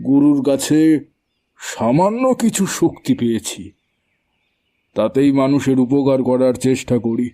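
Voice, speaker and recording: Male actor as middle-aged village occultist, humble yet ominous, dark mellow tone, unhurried, music underneath, horror audio drama. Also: medium pitch. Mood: calm, welcoming.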